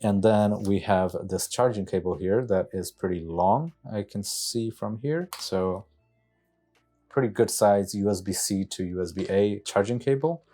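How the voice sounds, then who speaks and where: A man's voice: on the low side, measured pace, dark tone, soft background music. A man giving a casual product walkthrough to viewers, thinking aloud in a tentative way as he handles the parts. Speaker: product reviewer, motorcycle rider.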